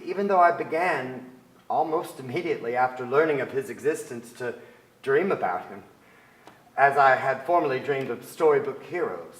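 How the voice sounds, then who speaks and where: A man's voice: medium pitch, fairly bright tone, lightly acted. A sensitive writer in his forties confesses boyhood longing, acted by a man in a theatrical reading. His voice sits in a middle register and echoes through the auditorium. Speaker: actor in a staged reading. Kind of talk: theatrical literary narration. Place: large theater stage with audience. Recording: echoey theater stage sound.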